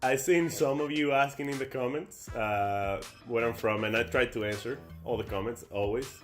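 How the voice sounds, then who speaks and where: A man's voice: mid-range voice, moderate pace, soft background music. Young man with a Dominican accent. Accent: Dominican Spanish. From Dominican Republic. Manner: rambling, many fillers and restarts. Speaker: YouTuber. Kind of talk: casual sit-down chat to camera.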